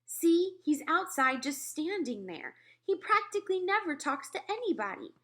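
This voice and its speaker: A woman voicing excitable, gushing young girls at camp in a children's storybook. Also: extremely high pitch, moderate pace, pitched above the performer's own voice. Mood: complaining. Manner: pleading, gushing.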